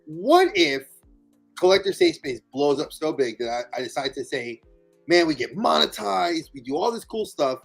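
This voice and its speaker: A man with a New York accent hosting a live chat, animated and friendly. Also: on the high side, moderate pace, gravelly, husky, soft background music. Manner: animated, friendly, says dude a lot. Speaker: host.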